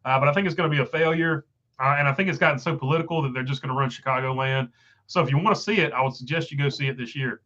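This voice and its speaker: A man's voice: medium pitch, very fast, pushed and bright, dull audio. A stuffed-up man with a failing voice, hosting a casual livestream and rambling through viewer comments with many fillers. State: stuffed up, voice going. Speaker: livestream host reading chat. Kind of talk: casual live chat.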